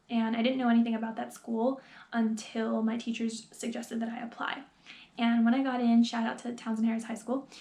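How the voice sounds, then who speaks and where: A woman's voice: fairly high pitch, gravelly, a little mellow. Earnest New woman in echoey room. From New York, USA. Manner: earnest, reflective, quick and talkative. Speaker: PhD student vlogger. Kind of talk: personal vlog to camera. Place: small room with plain wall, indoors.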